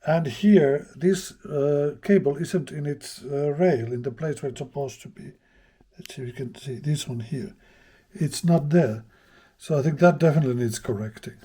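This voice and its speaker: A man casually narrating a hands-on repair as he goes, thinking aloud in a halting way. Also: a little high, mellow, breathy edge. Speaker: host of a vintage radio repair channel.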